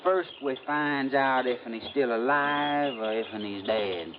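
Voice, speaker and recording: A man's voice: medium pitch, slow, over music, lightly acted. An actor playing a folksy backwoods man with a rural Southern American drawl in a 1950s radio drama. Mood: insistent. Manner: folksy drawl with rustic grammar. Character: backwoods moonshine-country man, folksy, protective, sweet on a widow. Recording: dull, noisy vintage radio recording.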